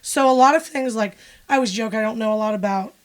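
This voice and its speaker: A woman's voice: fairly high pitch, fast talker. A woman from Pennsylvania, a musician and music teacher, speaking frankly and wryly in a casual interview. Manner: frank, matter-of-fact, wry.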